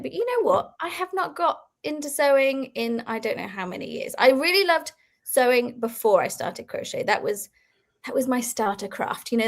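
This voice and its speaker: A woman in her thirties who grew up in Belgium, chatting casually and laughing often as an interview guest. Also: on the high side. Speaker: guest crochet designer.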